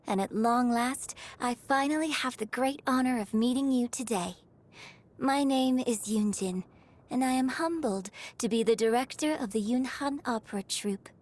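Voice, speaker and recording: A woman's voice: on the high side, moderate pace, a little mellow, close to an everyday voice. A woman voicing a gracious young opera troupe director in a video game, with formal, poised and theatrical phrasing. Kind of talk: scripted video game character lines. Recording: music playing behind the voice. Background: music.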